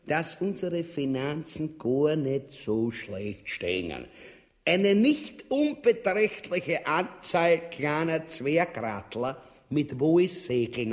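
A pompous despot in an Austrian-accented radio farce. His voice sits fairly high, and he blusters and insults like a bully who chairs every meeting. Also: long reverb tail. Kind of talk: radio drama acting.

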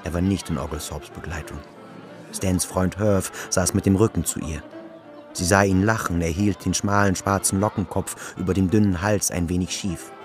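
Sehr tiefer männlicher Erzähler über Musik. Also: male voice, deep voice, fairly even intonation, moderate pace. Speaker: narrator. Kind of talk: literary radio play narration. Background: music.

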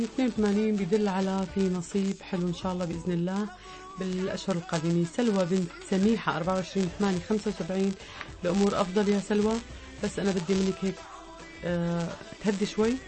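Very fast-talking Lebanese woman astrologer. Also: mid-range voice, steady tone, warm tone, faint music behind. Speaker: astrologer taking listener calls. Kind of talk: live radio horoscope reading and chat.

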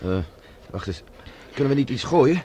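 A man's voice: mid-range voice, sing-song, normal speed, mellow, lightly acted. A man playing a determined scientist on the run in a Dutch science fiction radio drama, speaking urgently as he explains and plots. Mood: tense, cautious. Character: determined male scientist on the run, plotting escape. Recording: dull lo-fi radio recording with hiss.

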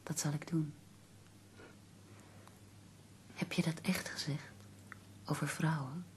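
A woman acting in a Dutch radio play as an ailing poet's devoted, earnest companion, soft, breathy and restrained. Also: deep voice, fairly even intonation, normal speed, whispered, close to an everyday voice. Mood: tender, puzzled.

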